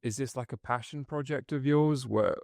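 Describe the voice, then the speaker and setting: A man's voice: medium pitch, moderate pace, vocal fry, a little mellow, no echo, clean studio sound. A man hosting a podcast interview, chatty and affirming as he responds to his guest and asks questions. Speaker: podcast host. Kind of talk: casual interview.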